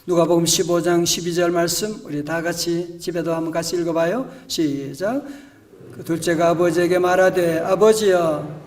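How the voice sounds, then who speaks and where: Male pastor, storytelling sermon, hushed-to-emphatic; high voice, distant church pickup. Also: fairly even intonation, moderate pace. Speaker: senior pastor. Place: church sanctuary.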